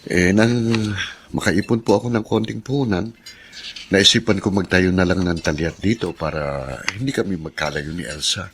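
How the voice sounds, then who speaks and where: Low-voiced, respectful Filipino husband. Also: male voice, normal speed, a bit bright, slight background noise. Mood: matter-of-fact. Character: kind, respectful son-in-law, hardworking former mechanic. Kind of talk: Filipino radio drama acting.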